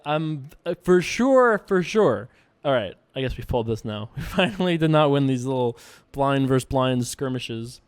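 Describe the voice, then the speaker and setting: Jokey, smooth-voiced man. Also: on the high side, no echo. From United States. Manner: rambling, jokey, self-mocking, laughs at his own jokes. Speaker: poker video host. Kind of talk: casual live commentary over online poker play.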